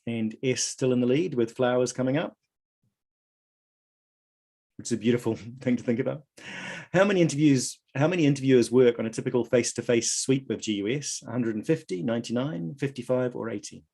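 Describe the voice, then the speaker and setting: A man hosting a live online quiz, reading out questions and answer options in a measured way. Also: medium pitch, vocal fry, mellow. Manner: reads options aloud in a measured list. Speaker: quiz host at an online conference.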